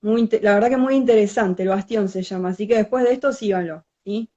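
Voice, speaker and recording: A young woman with a Rioplatense Argentine accent hosting a casual live-stream interview, informal and quick to laugh. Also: medium pitch, warm tone, highs cut off. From Buenos Aires province, Argentina. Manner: friendly, laughs often, informal. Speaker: interviewer, host. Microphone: video call.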